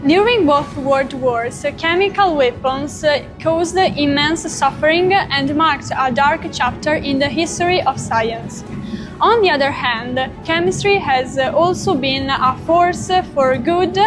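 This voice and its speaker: A woman's voice: high voice, measured pace, over music. A woman with an Italian accent reading scripted narration, carefully, with small hesitations. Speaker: student narrator.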